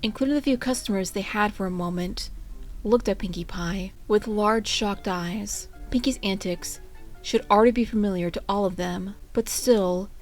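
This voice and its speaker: A woman narrates a story in an expressive storybook style. Her voice sits at a medium pitch, with music playing behind it. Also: moderate pace. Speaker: fan fiction reader and narrator. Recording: music under the voice.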